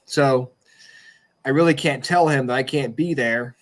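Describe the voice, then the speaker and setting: A man's voice: medium pitch, unhurried. A man hosting a podcast in casual chat, joking and rambling as he goes. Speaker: podcast host. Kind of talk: casual chat, closing a show.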